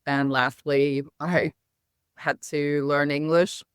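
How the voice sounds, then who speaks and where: A middle-aged woman with a near-American accent over her Hungarian first language, speaking in a measured, reflective way. Her voice is smooth and clear, recorded close and dry with no room sound. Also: deep voice, flat delivery, no echo. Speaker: guest, company founder. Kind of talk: podcast interview.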